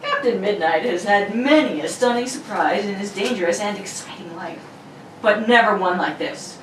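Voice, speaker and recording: A woman's voice: medium pitch, animated, normal speed, far from the mic. A woman announcer reading old-time radio serial narration in a theatrical, playful amateur style. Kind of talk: amateur read of 1940s radio narration and sponsor ad. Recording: roomy, off-mic, faint noise.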